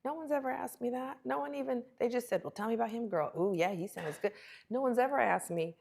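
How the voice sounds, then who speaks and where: A woman's voice: fairly high pitch, quick pace, vocal fry, darkish tone. A woman speaking as a guest expert in an interview, teaching emphatically with rhetorical questions and acted-out remarks. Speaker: guest relationship expert and author. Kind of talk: animated interview talk, teaching with role-played questions.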